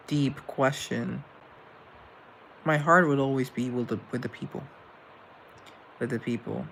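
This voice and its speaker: Young male live host with a gravelly voice, earnest and rambling, over faint noise. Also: medium pitch. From Colombia. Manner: rambling, earnest, with self-deprecating asides. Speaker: live show host answering chat. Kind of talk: casual live-stream chat, reading comments aloud.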